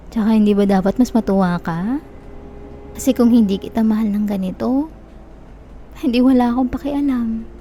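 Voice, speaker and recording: A young woman with a Filipino accent playing a possessive, manipulative, lovesick stalker in an intimate ASMR roleplay, softly coaxing and teasing with quiet menace. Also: fairly high pitch, measured pace, long pauses, slight background noise. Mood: lovesick, insistent. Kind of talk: Tagalog-style ASMR roleplay, intimate close address.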